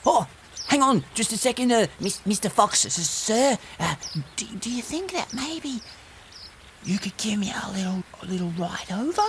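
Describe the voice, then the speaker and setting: A woman's voice: mid-range voice, lively intonation, moderate pace, slight background noise, natural voice. A woman voicing a cheeky, boastful little gingerbread boy in a children's audio story, with a sing-song, taunting delivery. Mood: eager.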